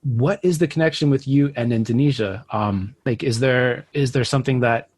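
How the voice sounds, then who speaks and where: A man's voice: medium pitch, moderate pace, darkish tone, breathy edge. A man co-hosting a podcast interview, chatting loosely and rambling with lots of filler words. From United States. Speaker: podcast co-host. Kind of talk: casual interview chat.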